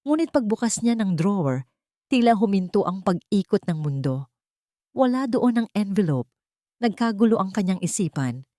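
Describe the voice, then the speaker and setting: A woman's voice: mid-range voice, very animated, moderate pace, dark tone, dry recording, studio quality. A woman reading a scripted, dramatic love story as its narrator.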